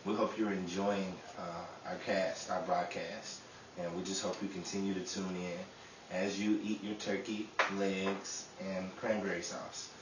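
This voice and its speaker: A man's voice: low-pitched, flat delivery, unhurried. A man from the cast giving a holiday sign-off to listeners as an announcer. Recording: roomy, distant, clearly noisy recording.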